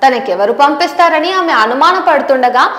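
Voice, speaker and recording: Female news presenter, high voice, scripted explainer delivery, echoing room. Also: animated, moderate pace, fairly bright tone. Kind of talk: scripted explanatory narration to viewers. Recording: roomy, echoing voice recording.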